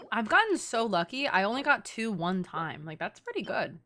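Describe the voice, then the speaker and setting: A woman streamer giving casual, excitable, exclamatory live gaming commentary, swearing freely. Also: on the high side, moderate pace, slight background noise. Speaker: video game streamer.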